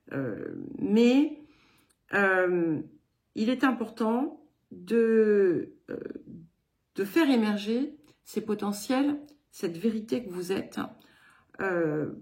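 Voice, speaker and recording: A woman from England giving a card reading in French with a slight English accent, speaking in an unhurried, hesitant way with many pauses. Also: medium pitch, darkish tone. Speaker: card reader giving a weekly guidance. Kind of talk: slow spoken tarot-style reading.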